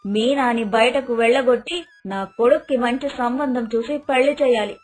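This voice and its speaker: Proud, disapproving mother-in-law played by a woman, high voice, cartoon moral tale, music underneath. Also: moderate pace, natural voice. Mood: indignant, scheming. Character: stern, proud middle-class mother-in-law, disapproving and suspicious. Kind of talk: cartoon moral story voice acting. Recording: dialogue over background music.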